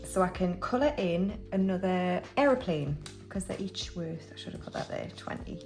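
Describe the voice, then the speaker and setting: A woman's voice: fairly low pitch, animated, normal speed, a little mellow, faint music behind. A British woman in her forties, chatting casually and rambling a little as she films a budgeting vlog. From United Kingdom. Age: 40s. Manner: chatty and rambling, with frequent restarts and fillers. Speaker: budgeting vlogger. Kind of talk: casual chat while stuffing cash envelopes.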